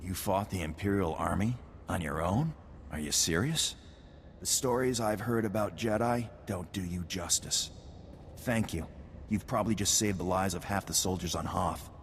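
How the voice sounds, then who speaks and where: A grave, earnest officer of a space-age army speaks in a low register. It is video game dialogue, set in a reverberant hall with a layer of noisy ambience. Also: male voice, moderate pace. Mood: surprised, grateful. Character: earnest, grave Republic military commander at an ice outpost.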